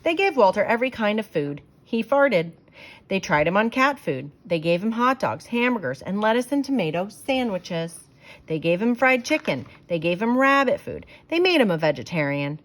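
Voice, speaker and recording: A woman narrates a picture book for children. Her delivery swings widely up and down in pitch, with dramatic emphasis and an expressive, theatrical feel. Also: moderate pace. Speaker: storyteller reading a picture book aloud.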